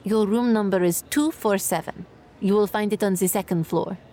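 A man's voice: high-pitched, moderate pace, mellow, a little hiss or hum, voice pushed up, lightly acted. A man voicing a friendly female hotel receptionist with an Eastern European accent, speaking formally and politely. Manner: polite, formal service talk. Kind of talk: audiobook narration.

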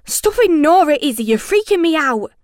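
A woman voicing an exasperated young boy in a storybook, sharp, impatient and disbelieving. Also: high-pitched, takes it slowly, no room sound, clean studio sound, pitched above the performer's own voice. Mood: freaked out.

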